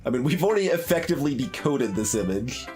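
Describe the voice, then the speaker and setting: A man's voice: medium pitch, sing-song, fast talker, a little mellow. A man giving casual live commentary on a game playthrough, hesitant and thinking aloud as he talks. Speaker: game streamer. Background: game music, guitar.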